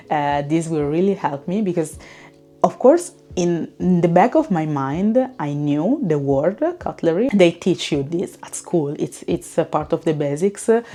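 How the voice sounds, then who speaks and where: A young woman, chatting casually to camera in a vlog, relaxed and full of fillers. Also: low voice. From Italy. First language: Italian. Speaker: vlogger.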